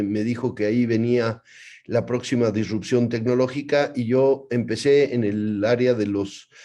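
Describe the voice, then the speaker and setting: A man's voice: medium pitch, dark tone. A Mexican man with a Mexican accent, introducing himself as a panelist on a video call webinar, often repeating words as he builds his sentences.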